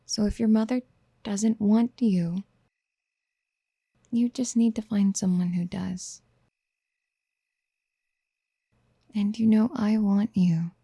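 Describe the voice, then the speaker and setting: A woman playing a possessive, motherly yandere in a soft-spoken ASMR roleplay, gentle and coaxing, often slipping toward a breathy whisper. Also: mid-range voice, takes it slowly, pauses often, no echo, clean studio sound. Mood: tender, reassuring. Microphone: close studio mic.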